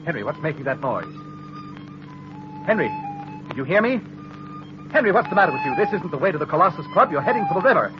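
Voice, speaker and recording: Muffled, hissy old radio recording of a pompous governor. He declaims indignantly, his pitch sweeping up and down. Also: sing-song, fast, pauses often. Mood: mounting panic. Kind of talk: 1930s radio acting.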